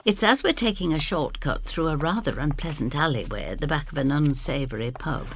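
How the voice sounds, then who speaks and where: A woman giving expressive, theatrical first-person narration of a scripted story. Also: sits low, brisk, breathy edge. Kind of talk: scripted dramatic narration in character.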